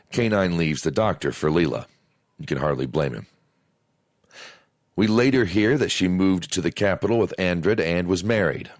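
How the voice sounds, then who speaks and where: A man with a very low voice narrates a scripted review. The sound is dry and close, and his delivery is measured, that of a fan presenting to listeners. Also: no echo. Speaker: audio drama reviewer.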